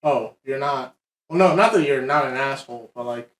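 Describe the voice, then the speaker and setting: A young man co-hosting a casual podcast. He swears freely and talks in a loose, easy way at a middle pitch. The room has a noticeable echo. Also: normal speed, a bit bright. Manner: swears freely, laughs a lot. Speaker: podcast co-host, streamer. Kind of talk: casual chat. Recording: echoey room.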